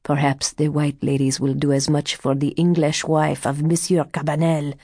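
A woman's voice: deep voice, level tone, warm tone, no room sound, clean studio sound. A woman voicing a withered old male fortune-teller in an audiobook, sly, superstitious and full of foreboding. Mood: ominous, slow. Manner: slow, exclamatory, foreboding, with muttered asides. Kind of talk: audiobook character dialogue.

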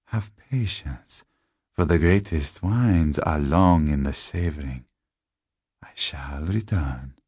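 A man voicing a tall, sardonic male vampire in a gothic horror story, slow and deliberate with soft, seductive menace. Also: sits low, expressive, no room sound, studio quality, full character voice. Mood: amused, possessive. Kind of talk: gothic horror audiobook character.

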